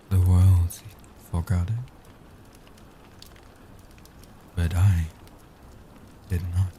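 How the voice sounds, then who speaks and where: Very deep, dark, soft-spoken vampire guardian, acted by a man. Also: slight background noise. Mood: tender, soothing. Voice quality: soft, hushed, dark low voice. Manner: slow, soothing, formal, solemn delivery. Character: gentle protective ancient male vampire guardian. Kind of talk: soft-spoken comfort audio roleplay.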